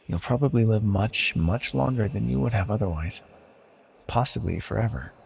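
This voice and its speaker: A man playing an ancient, possessive godlike villain in an intimate ASMR audio roleplay, patient and condescending, with menacing tenderness and a gravelly, husky voice. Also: fairly low pitch, full character voice. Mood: coaxing, condescending. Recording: long reverberant echo.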